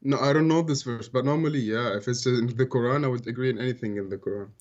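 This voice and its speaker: A man's voice: medium pitch, quick pace, darkish tone, dull audio. A man from Tunisia calling into a debate, talking casually with many fillers and hesitations. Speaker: caller. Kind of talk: debate call.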